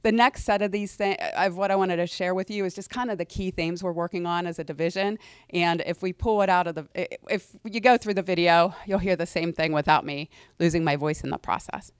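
A middle-aged woman talks through a presentation in a lecture hall, her voice hoarse from a cold yet smooth underneath. She sits on the low side, dry and close on a handheld mic, chatty with ums and restarts. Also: fairly quick. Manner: conversational, with fillers and restarts. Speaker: university administrator presenting. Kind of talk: presentation to student senate. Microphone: handheld microphone.

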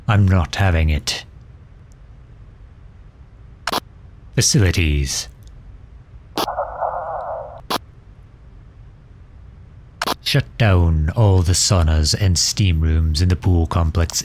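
Man acting as a stern villain, very low voice, formal, protective, commanding, unhurried delivery, roleplay audio drama. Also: a little mellow. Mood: firm, commanding. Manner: formal, stern, halting when flustered. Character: protective, commanding male villain fretting over injured hero. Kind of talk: scripted roleplay audio drama in ASMR style.

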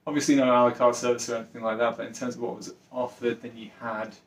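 A man's voice: mid-range voice, level tone, quick pace, roomy sound. A man in his thirties giving a casual, upbeat review, listing points one by one. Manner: upbeat, listing scores item by item. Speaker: travel vlogger.

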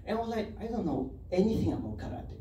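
A man in his thirties with a doing a stand-up set on a club stage with a handheld mic, telling a story and acting out quick quoted lines. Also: high-pitched, very animated, warm tone. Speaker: stand-up comedian. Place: comedy club stage, indoors. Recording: roomy club sound from a far camera. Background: audience laughing.

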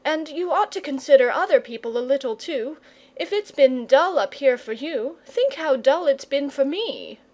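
A woman voicing an eager, excitable young boy who speaks with urgent enthusiasm. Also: sits high, normal speed, voice pushed up. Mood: pleading.

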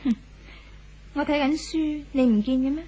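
Woman acting proud scornful young wife, high voice, old 70s radio drama, cutting and aloof. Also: moderate pace, warm tone, close to an everyday voice. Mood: cool, aloof. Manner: haughty, cutting. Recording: old 1970s radio broadcast.

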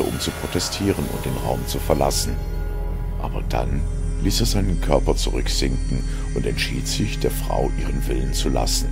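A man narrating a science fiction audio story in a brooding, deliberate way. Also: a bass voice, vocal fry. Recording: music under the voice. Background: music.

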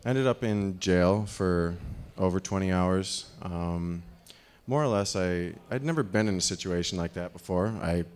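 A man in his thirties with a deep voice, giving a rambling live talk on a dark stage into a handheld microphone, full of fillers. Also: normal speed, clean tone. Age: 30s. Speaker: speaker.

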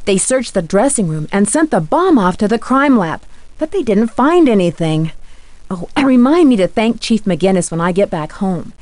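Woman as young female amateur detective, video game, earnest, inquisitive, determined, animated intonation, dry recording. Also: mid-range voice, normal speed, no echo, close to an everyday voice. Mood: eager, confiding.

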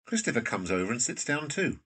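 A man's voice: medium pitch, big pitch swings, a little fast, a bit bright, breathy edge. A man reading scripted stage directions as the narrator of a drama.

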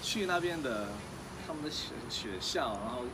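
A man's voice: a little high, animated, moderate pace, over loud music, rough recording. A young man from the United States with an American accent, chatting casually as a guest in an easygoing, slightly hesitant way. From Cincinnati, United States. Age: young adult. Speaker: interview guest. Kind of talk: casual chat, switching between English and Mandarin. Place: outdoor garden seating by apartment buildings.

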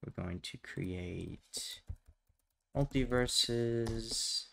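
A man hosting a step-by-step software tutorial in a casual, plainspoken way, recorded indoors at a computer. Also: mid-range voice, very slow, creaky. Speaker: tutorial host. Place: indoors at a computer, voice over screen capture.

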